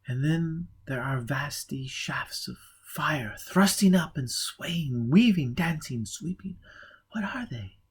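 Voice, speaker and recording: A man reading a story aloud, voicing an aloof highborn lady in high, breathy whispers that turn dreamy and disdainful. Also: lively intonation, slow pace, full character voice. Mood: dreamy, mocking. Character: aloof highborn blind lady, rapt and mocking.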